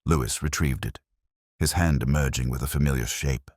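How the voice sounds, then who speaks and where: A man reading a scripted first-person detective story in a measured, brooding, literary manner. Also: rumbling low, a little mellow, dry recording, studio quality. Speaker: narrator.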